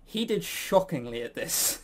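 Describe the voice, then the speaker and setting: A man's voice: fairly high pitch, sing-song, unhurried, a bit bright. A man co-hosting a podcast in casual banter, rambling and jokey, making fun of himself and swearing casually. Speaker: podcast co-host and quizmaster.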